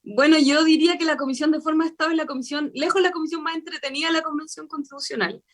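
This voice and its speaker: Articulate Chilean woman, higher voice. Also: fairly even intonation. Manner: fluent, explanatory, with frequent filler pauses. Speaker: constitutional convention member, guest. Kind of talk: radio interview answers.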